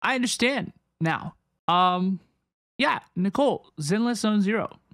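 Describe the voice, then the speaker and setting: A woman's voice: medium pitch, expressive, a little slow, fairly bright tone, dry recording. Casual woman reacting, dry close mic. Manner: informal, hesitant, repeats herself. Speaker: streamer reacting to game trailers.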